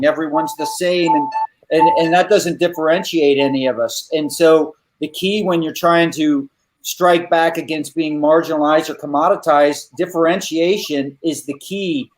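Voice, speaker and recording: A man's voice: on the high side, slight background noise, rough recording. A man co-hosting a panel show, speaking in a loose, rambling way with many restarts. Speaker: show co-host. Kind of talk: casual panel chat.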